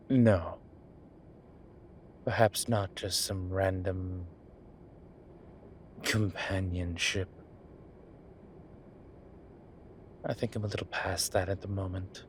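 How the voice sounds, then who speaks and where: A composed male demon professor in an audio roleplay, acted by a man, who teases in a measured, genteel way. He talks very slowly and unhurriedly, often sinking into a hush. A faint hiss of noise sits under the voice. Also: low-pitched, fairly even intonation. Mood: wistful. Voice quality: soft, often whispered, unhurried.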